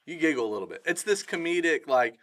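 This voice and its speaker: A man's voice: fairly high pitch, lively intonation, fast talker, a bit bright. A man co-hosting a casual podcast, affirming his partner and asking leading questions. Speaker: co-host.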